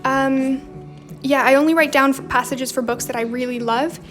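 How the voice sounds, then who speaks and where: A young woman with a faint Romanian accent hosts a relaxed livestream. She reads out viewer comments and replies in a chatty way, and her voice sits high with little rise and fall. Soft music plays quietly behind her. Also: normal speed. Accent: slight Romanian. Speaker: livestream host. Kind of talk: casual livestream chat while journaling. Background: faint background music.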